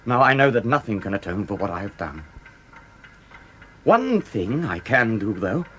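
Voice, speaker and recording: A man's voice: lowish voice, sing-song, normal speed, a bit bright, a little hiss or hum. An actor playing a tormented, guilty murderer in his thirties, anguished yet defiant, speaking earnestly and declamatorily in a radio drama. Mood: despairing resolve. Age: 30s. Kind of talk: dramatic British radio play acting.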